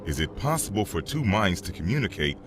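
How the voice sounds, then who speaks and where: A man playing a stern, authoritative Jedi master in a comic dub, deliberate in delivery, with music behind. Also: low voice, sing-song. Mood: commanding. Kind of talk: comic dub acting and narration.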